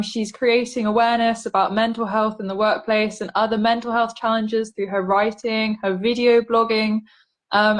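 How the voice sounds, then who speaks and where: A woman hosting an interview, introducing her guest and asking questions in a friendly way with frequent ums. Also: medium pitch. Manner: friendly, uses filler um often.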